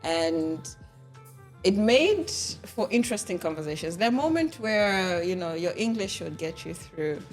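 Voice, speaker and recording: A woman's voice: fairly low pitch, very animated, measured pace. A young woman hosting a casual interview, chatty and teasing, with faint music in the background. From Tanzania. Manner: chatty, teasing, laughs easily. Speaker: podcast host.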